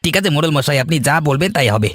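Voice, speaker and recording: A man's voice: a little high, animated, fast talker, a bit bright, no room sound. A man playing a busy, helpful and honest village organizer in a cartoon. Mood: respectful. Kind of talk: Bengali village cartoon voice acting.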